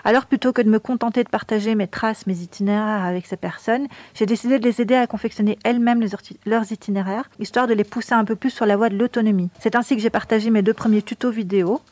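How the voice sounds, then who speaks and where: A woman's voice: on the high side, fairly even intonation, fast talker, few pauses, a little mellow, dry recording. A woman chatting casually about her cycling blog, speaking with fillers. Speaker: cycling blogger, show contributor. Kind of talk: casual chat.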